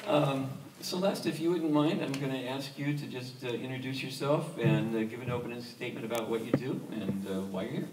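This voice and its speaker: A man hosting a lecture panel, speaking haltingly with many fillers as he gives figures and introduces guests. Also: mid-range voice, background noise. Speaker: lecture series host and panel moderator. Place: museum lecture hall. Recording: echoey room, mic far off.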